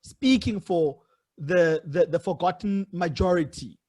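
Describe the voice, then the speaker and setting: A South African man hosting a podcast, talking conversationally and explaining his points in a measured way. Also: high-pitched, darkish tone. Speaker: podcast host. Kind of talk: casual interview conversation.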